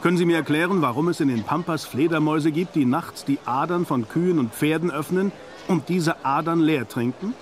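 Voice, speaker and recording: An actor playing a learned, insistent professor and vampire hunter in a radio play, with probing, emphatic delivery. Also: male voice, on the high side, brisk, close to an everyday voice. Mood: probing, lecturing. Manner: probing, emphatic, rhetorical questioning. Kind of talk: German radio play acting. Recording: radio play with loud background music.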